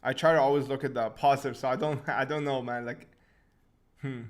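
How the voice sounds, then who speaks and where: A man's voice: medium pitch, fairly quick. Laid-back man host answering chat. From Syria, raised in Canada. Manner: relaxed, laughs often, restarts words. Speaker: channel host answering live chat questions. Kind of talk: casual live Q&A stream.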